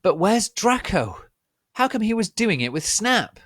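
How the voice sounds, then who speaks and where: A man's voice: high-pitched, very animated, unhurried, fairly bright tone, no room sound, studio quality, voice pushed up, heavily acted. Man as a melodramatic teenage goth vampire girl. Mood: worried. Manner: indignant, over-dramatic.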